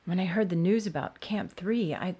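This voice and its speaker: An actress playing a guarded, menacing doctor in an audio drama. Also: female voice, lowish voice, normal speed, mellow, husky. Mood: guarded, questioning.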